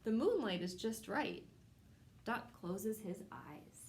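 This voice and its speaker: A woman hosting a children's storytime, reading a picture book aloud in simple, measured phrases addressed to young children. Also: mid-range voice, lively intonation, a little mellow, a little hiss or hum. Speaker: children's storytime host at a public library.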